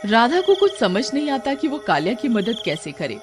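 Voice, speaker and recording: A woman's voice: a little high, very animated, moderate pace. A woman narrating a scripted cartoon horror story as the storyteller. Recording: music behind the voice.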